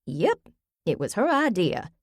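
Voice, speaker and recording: A woman's voice: medium pitch, wildly swooping pitch, unhurried, no room sound, clean studio sound, pitched above the performer's own voice, exaggerated voice. A woman voicing a stubborn, feisty old grandmother with an emphatic, folksy way of talking in an audiobook. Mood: insistent. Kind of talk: audiobook character voice.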